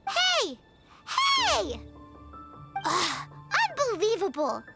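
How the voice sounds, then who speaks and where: A woman voicing a tiny, excitable, cheeky girl fairy companion with a squeaky childlike voice in a video game. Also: drawn-out words, creaky, heavily acted. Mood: indignant. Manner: exclaiming, refers to self in third person. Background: music.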